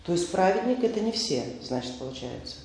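Gravelly man lecturing in an echoey hall. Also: high voice, big pitch swings, a little fast, a little mellow. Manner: conversational, hesitant fillers, rhetorical questions. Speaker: spiritual seminar leader. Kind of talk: informal lecture quizzing the audience. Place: large indoor hall. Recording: echoey hall, voice from far off, faint noise.

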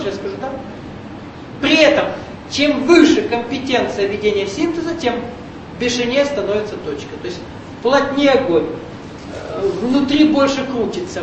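A man leads a spiritual seminar, explaining things patiently and often checking that the room is following. His voice is picked up from a distance in a very echoey room. A steady rumble runs underneath. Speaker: seminar leader. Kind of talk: esoteric spiritual lecture. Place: lecture room with an audience.

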